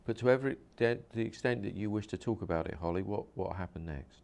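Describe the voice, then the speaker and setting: Deep-voiced man explaining hypnosis. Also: vocal fry, darkish tone. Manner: earnest, explains slowly with repetitions and restarts. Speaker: hypnosis practitioner and interviewer. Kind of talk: explanatory interview talk.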